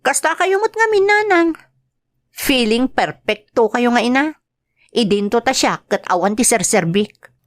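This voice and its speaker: A woman acting a resentful, sharp-tongued young wife and mother who complains and argues, with an Ilocano accent, in a radio-style drama. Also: a little high, expressive, a little slow, dry recording, studio quality. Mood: defiant. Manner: complaining, argumentative. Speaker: voice actress.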